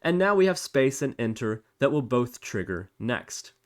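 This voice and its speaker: A man presenting a screencast software tutorial, explaining step by step in an informal, casual way. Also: medium pitch, very animated, normal speed.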